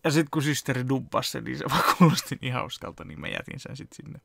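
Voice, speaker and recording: A man in his thirties with a gravelly, fry-tinged voice talks through an editing timeline at his home desk. He rambles easily and chuckles often. The sound is close and dry, with no room around it. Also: medium pitch, fairly bright tone, no echo. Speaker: fan dub editor and vlogger. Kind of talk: casual behind-the-scenes walkthrough. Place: home room with computer setup.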